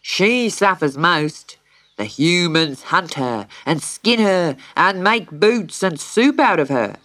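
Lady doing a pompous pelican prosecutor for a kids story, really slow and solemn, drags words out. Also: on the low side, animated, fairly bright tone. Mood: solemn, stern. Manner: slow, drawn-out, formal pronouncements. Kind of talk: children's storybook character voice.

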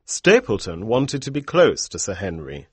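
A man reading a story aloud, voicing a calm, clever detective in a measured, knowing way. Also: on the low side, slightly rough, dry recording.

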